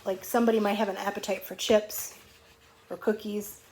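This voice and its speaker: A woman's voice: medium pitch, level tone, normal speed, darkish tone. Woman reading to young children, noisy recording. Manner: simple explanatory talk addressed to young children. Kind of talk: picture book read-aloud with conversational asides and questions. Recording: clearly noisy home recording.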